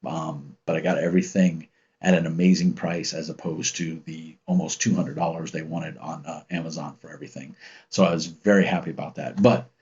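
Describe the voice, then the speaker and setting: Male streamer, 50s, from the States, deep commanding husky voice, loose casual storytelling, dull lo-fi sound. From United States. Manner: rambling, relaxed, with many ums and restarts. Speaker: channel host reading live chat. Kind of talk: casual livestream chat and storytelling. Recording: muffled lo-fi stream audio.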